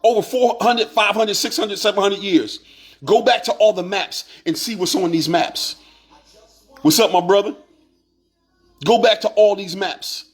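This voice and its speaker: A man's voice: high voice, breathy edge. Fired-up man preaching to viewers. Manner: preachy, repetitive, rising to shouts. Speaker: online commentator. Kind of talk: impassioned monologue to viewers.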